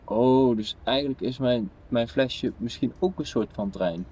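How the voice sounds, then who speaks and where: A man's voice: mid-range voice, a little slow, dark tone, slightly rough. A young man playing an easygoing, slightly puzzled brother in naturalistic radio play dialogue, casual and questioning. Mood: puzzled, amused. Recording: faint station ambience noise.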